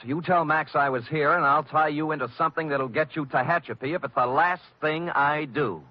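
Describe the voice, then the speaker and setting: A man's voice: a little high, brisk, lightly acted. An American man playing a tough, wisecracking private detective in an old-time radio noir drama, speaking in a wry, clipped manner. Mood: cool, threatening. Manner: hard-boiled, wry, clipped. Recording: dull vintage radio recording with music.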